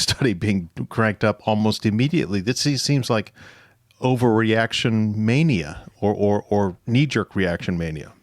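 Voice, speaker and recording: A man's voice: on the low side, no room sound, clean studio sound. A man hosting an interview conversationally, thinking aloud and rephrasing as he sums things up. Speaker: interview host.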